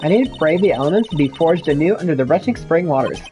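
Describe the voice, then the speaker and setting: Man as naive young female spirit medium, high-pitched, excitable, animated, video game sidekick, music behind. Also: fast, lightly acted. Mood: eager. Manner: excitable, dramatic.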